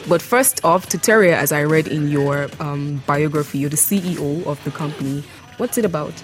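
A woman hosting a podcast interview, lively and quick to laugh, who reads out her guest's biography. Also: low-pitched, soft background music. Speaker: podcast host.

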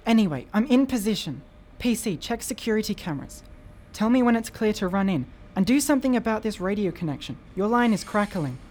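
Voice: mid-range voice, animated, brisk, darkish tone, close to an everyday voice. An actor playing an adventurous, determined boy hero, bossy and earnest, in a radio drama. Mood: bossy, impatient.

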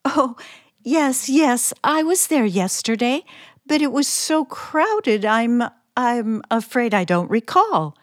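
A woman playing a shy, naive, romantic forty-year-old spinster in a radio play, excitable and easily flustered. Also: fairly high pitch, slow, no echo, studio quality. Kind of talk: radio play acting, diary narration and dialogue.

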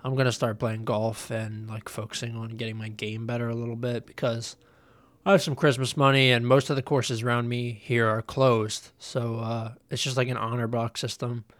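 A man's voice: mid-range voice, level tone, normal speed, no room sound. A young man hosting a casual solo podcast, nasal and congested from a cold, rambling loosely with lots of ums and uhs. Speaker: podcast host.

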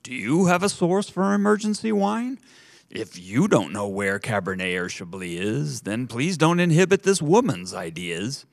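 A man's voice: mid-range voice, big pitch swings, moderate pace, vocal fry, no room sound, clean studio sound, pitched above the performer's own voice. Man doing a frantic pleading groom. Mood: desperate. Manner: urgent, pleading. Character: flustered desperate young bridegroom.

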